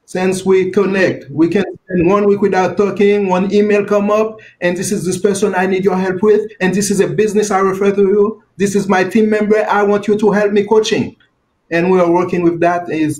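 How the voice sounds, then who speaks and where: A man's voice: high voice, a little mellow, poor audio. A man from Haiti with a Haitian French accent and French as his first language, a financial advisor answering interview questions earnestly in simple phrases.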